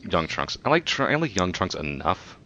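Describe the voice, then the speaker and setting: Very deep-voiced man bantering, slightly lo-fi. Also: very animated, normal speed, pushed and bright, a little hiss or hum. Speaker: commentary co-host. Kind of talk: casual banter. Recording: slightly dull, lo-fi microphone.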